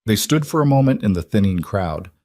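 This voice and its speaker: A man reading a dramatic western story as scripted narration, measured and deliberate in his delivery. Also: on the low side, animated, darkish tone, dry recording, studio quality. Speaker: audiobook-style story narrator.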